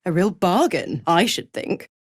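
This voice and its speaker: A woman's voice: on the low side, very animated, unhurried, slightly rough, dry recording, studio quality, full character voice. A woman voicing a sly, seductive half-devil schemer in a video game, purring, teasing and condescending with theatrical flair. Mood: smug, teasing.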